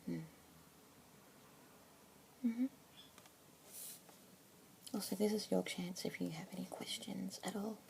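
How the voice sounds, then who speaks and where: A young woman with an Australian accent whispering softly and gently as a pet adoption consultant in an ASMR roleplay. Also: mid-range voice, fairly even intonation, vocal fry. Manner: soft whispering, gentle and unhurried. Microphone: close sensitive ASMR mic. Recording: noisy hiss behind close whisper.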